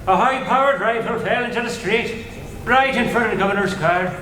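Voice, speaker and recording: A brisk police officer, played by a man reports in a high, matter-of-fact voice. He delivers the news plainly in old-time radio style. The sound is roomy and distant, with room noise behind him. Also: sharp tone. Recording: echoey hall from far off.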